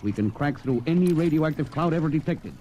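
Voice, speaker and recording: An American man playing a gruff, confident, middle-aged spaceship captain in a vintage radio drama, brisk and plain-spoken. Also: on the high side, expressive, a crowd in the background, lightly acted. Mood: firm, defiant. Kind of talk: old-time radio drama acting. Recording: vintage radio broadcast, music behind.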